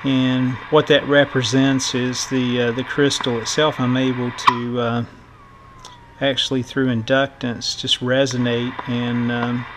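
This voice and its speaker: A middle-aged man giving a casual electronics tutorial in a halting, thinking-aloud way, as the host of a repair video. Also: medium pitch, level tone. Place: indoor workbench. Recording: clear background noise.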